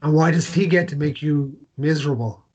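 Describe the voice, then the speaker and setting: A protective man in a drama, played by a male actor, speaks with a husky voice. He is blunt and insistent, pressing hard and repeating words as he stumbles over them. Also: on the high side, fairly quick, a little mellow, dull audio, lightly acted. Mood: concerned probing. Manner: blunt, probing, stammering repetitions. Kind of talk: naturalistic drama table read.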